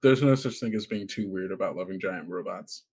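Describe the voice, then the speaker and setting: A man's voice: low voice, brisk, a little mellow, breathy edge. A man casually hosting a tabletop game podcast, talking in a loose, rambling way with small stumbles. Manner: rambling, stammering, often chuckling. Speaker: host and game master of an actual-play podcast.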